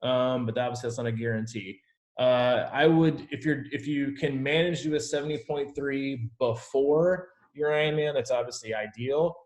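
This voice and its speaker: A man's voice: medium pitch, normal speed, a little mellow. A man working as a triathlon coach, informally and reassuringly answering team questions on a video call.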